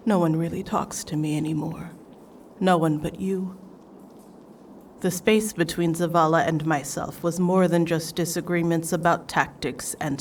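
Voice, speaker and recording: A woman's voice: fairly low pitch, far from the mic, slight background noise, lightly acted. A woman playing a troubled, isolated warlock leader in a fan audio drama, emotional and pleading.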